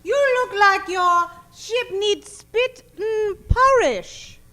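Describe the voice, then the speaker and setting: A woman's voice: extremely high pitch, extremely slow, noisy background, exaggerated voice. A woman playing a scheming spy who poses as a space maid in a theatrical old-time radio parody. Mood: coy, sly.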